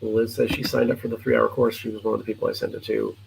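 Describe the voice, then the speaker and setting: A man's voice: lowish voice, little pitch movement, vocal fry. Middle-aged male host, scratchy worn-out voice, casual and earnest, slight hiss behind. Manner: earnest, encouraging, halting with fillers. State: hoarse, scratchy voice; sleep-deprived. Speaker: host of a martial arts morning show. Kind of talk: casual live-stream chat.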